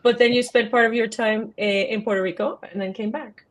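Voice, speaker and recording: A woman interviewer asking questions in a slow, measured way. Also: a little high, steady tone.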